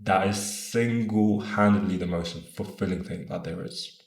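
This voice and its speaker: A man's voice: low voice, fairly even intonation, normal speed, echoey room. A man in his twenties talking casually and earnestly to camera, rambling a bit, asking "right?" and swearing now and then. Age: 20s. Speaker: vlogger. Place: room in Bogota.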